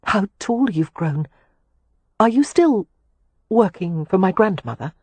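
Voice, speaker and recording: A woman voicing an earnest young gentlewoman who speaks gently and pleadingly, at times tartly, in a breathy voice. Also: mid-range voice, very animated, takes it slowly, dry recording, voice pushed up, exaggerated voice.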